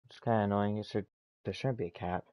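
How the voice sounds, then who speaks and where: A man's voice: on the low side, fairly even intonation, fast, mellow, dry recording. A man giving casual, offhand commentary as he plays a video game, muttering to himself. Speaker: gaming streamer.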